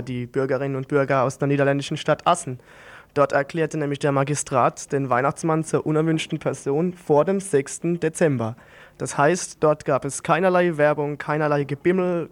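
A man with a fairly high voice presents radio news from a script. His delivery is wry, with an ironic edge to the commentary. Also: clean tone.

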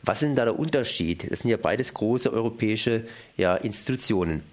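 A man interviewing a guest for radio, asking plain questions in a measured way from a studio. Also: on the low side, lively intonation, a little mellow.

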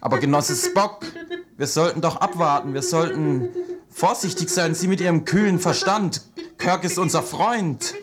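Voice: mid-range voice, moderate pace, crowd noise, close to an everyday voice. An actor playing a hesitant, pleading crew member in a satirical radio play. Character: crew member of a starship, cautious comrade.

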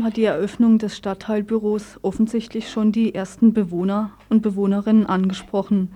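A woman's voice: medium pitch, warm tone. A woman presenting a scripted news update on radio.

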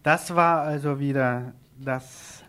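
A man's voice: medium pitch, steady tone, takes it slowly, bright, forceful tone, dry recording. A man presenting local event announcements on radio in an informal way, sometimes correcting himself.

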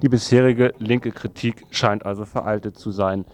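A man presenting a semi-scripted radio introduction in an even, flat delivery. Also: on the low side, moderate pace, music in the background.

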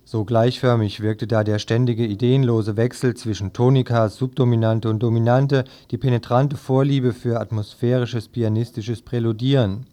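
A man with a soft, dark voice reads out newspaper commentary on the radio. His pitch sits in the middle range for a man. The delivery is scripted, as in a press review. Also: steady tone, normal speed, hardly any pauses.

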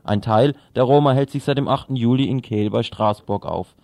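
A man's voice: mid-range voice, brisk, gravelly, a little mellow, no room sound. A man presenting radio news, reading from a script and now and then stumbling over a word.

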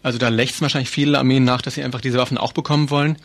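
A man's voice: medium pitch, steady tone, racing. A man being interviewed as an activist, explaining things earnestly and informally with hesitant phrasing.

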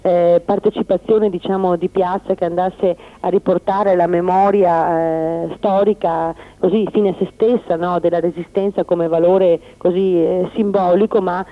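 A woman's voice: lowish voice, fairly even intonation, moderate pace, hardly any pauses. Tinny phone-line woman, interviewee. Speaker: demonstrator being interviewed. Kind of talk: spontaneous interview answer.

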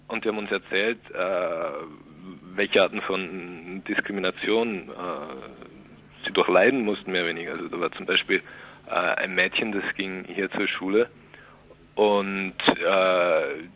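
A man being interviewed on radio, explaining hesitantly with many filler pauses. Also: sits low, creaky, sharp tone, poor audio.

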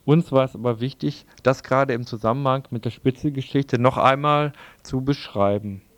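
A man's voice: medium pitch, moderate pace, dry recording. A man reads a scripted political text aloud as a radio presenter. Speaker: radio presenter reading quotations.

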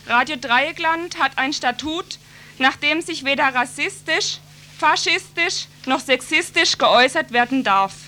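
A woman speaks in a high, sharp voice, declaring her points emphatically. It is a live studio tape with slight noise behind her. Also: lively intonation, a little slow. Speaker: activist making an announcement on radio.

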